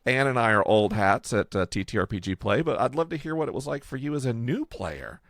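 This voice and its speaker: A man's voice: medium pitch, animated, a little fast, gravelly, a bit bright, no room sound, clean studio sound. A man talking casually and conversationally as a podcast host, explaining his project. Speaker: podcast host and game creator.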